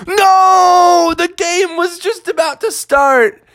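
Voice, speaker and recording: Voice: extremely high pitch, wildly swooping pitch, measured pace, pitched above the performer's own voice, heavily acted. An adult storyteller voicing rowdy, easily swayed football party guests in a children's story. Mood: dismayed.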